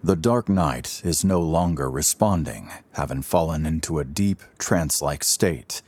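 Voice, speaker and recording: A man narrating an audio drama in a theatrical, deliberate storytelling manner. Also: rumbling low, lively intonation, darkish tone, husky.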